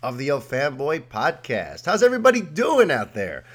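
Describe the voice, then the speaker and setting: A man's voice: on the high side, moderate pace. A man hosting a podcast in a casual, rambling monologue, his voice nasal and congested from a cold. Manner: chatty, rambling, energetic. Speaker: podcast host.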